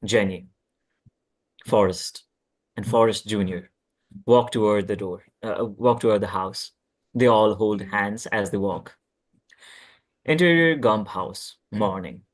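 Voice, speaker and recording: A man's voice: on the low side, takes it slowly, pauses often. A man reading scripted stage directions at a table read, plainly and with occasional stumbles.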